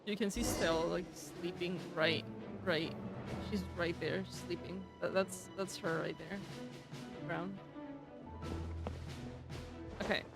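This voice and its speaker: Oyun yayını yapan, rahat konuşan kadın, arkada müzik. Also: female voice, fairly low pitch, fairly even intonation, a little slow, gravelly. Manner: slangy, offhand asides. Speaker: game streamer. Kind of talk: casual live reaction commentary. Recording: game music behind the voice.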